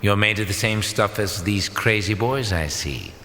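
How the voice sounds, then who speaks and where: An actor playing a smug, mocking villain in an audio drama, speaking with formal, condescending menace. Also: male voice, on the low side, lively intonation, normal speed, bright, forceful tone. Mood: smugly menacing. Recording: long hall reverb, faint noise.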